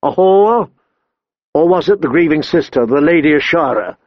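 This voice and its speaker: A proud, cold queen who taunts and schemes, as a male audiobook reader puts her on. The voice sits a touch high for a man, and the sound is dry and a bit dull, with no room around it. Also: lively intonation, a little slow, dry recording. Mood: scornful. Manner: scornful, taunting. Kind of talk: audiobook character voice.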